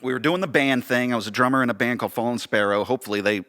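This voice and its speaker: American guy w/ a bright punchy voice, casual storytelling, animated and kinda self-deprecating. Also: medium pitch, racing. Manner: animated, self-deprecating, uses quoted dialogue. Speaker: podcast guest and theater director.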